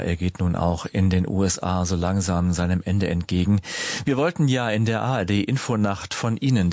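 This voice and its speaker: A man's voice: deep voice, a little mellow, no echo. A man with a standard German accent presenting scripted night radio as host. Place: broadcast studio.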